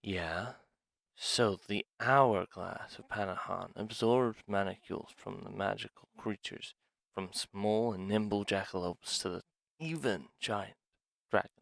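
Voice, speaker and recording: A man playing a sly, scheming dragon in an ASMR roleplay, speaking in a hushed, deliberate, confiding manner. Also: fairly low pitch, creaky, no echo. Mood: secretive, amused. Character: sly, scheming male dragon plotting a museum heist. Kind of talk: ASMR roleplay audio drama, one side of a dialogue.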